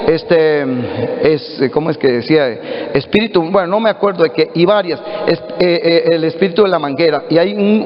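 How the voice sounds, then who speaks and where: A middle-aged man with a Costa Rican accent, preaching a sermon earnestly to his congregation. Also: high voice. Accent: Costa Rican Spanish. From Costa Rica. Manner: earnest preaching, addresses listeners as brothers. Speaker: pastor. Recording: echoey hall, dull lo-fi audio.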